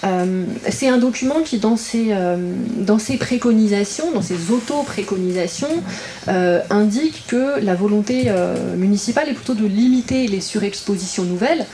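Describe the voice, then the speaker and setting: Echoey, mellow-voiced woman giving a halting speech. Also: mid-range voice. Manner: hesitant, halting phrasing with many filled pauses. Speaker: regional councillor and guest speaker. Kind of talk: political speech at a public meeting. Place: indoor public meeting room. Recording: roomy echo, audible background noise.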